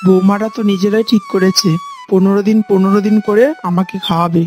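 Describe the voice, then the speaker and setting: Woman acting gentle, resigned elderly mother. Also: medium pitch, steady tone, a little fast, soft background music, close to an everyday voice. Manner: placating, resigned. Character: gentle, long-suffering elderly mother-in-law. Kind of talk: cartoon moral story voice acting.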